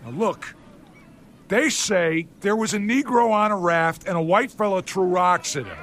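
An actor playing a gruff, bullying policeman with a barking, authoritative manner in a historical radio drama. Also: high-pitched, measured pace, a bit bright. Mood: stern, questioning. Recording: noisy outdoor crowd scene.

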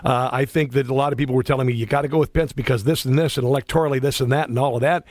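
A man's voice: medium pitch, fairly even intonation, very fast, dry recording. Man in his fifties, radio host, rambling, chummy, dry close studio sound. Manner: rambling, chummy, laughs often. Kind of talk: talk radio chat. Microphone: studio microphone.

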